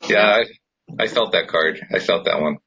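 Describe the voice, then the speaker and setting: A man's voice: low-pitched, normal speed, pushed and bright, husky, highs cut off. A man hosting a podcast, chatting casually and explaining his thoughts in an earnest way. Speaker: podcast host. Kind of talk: casual conversational podcast chat.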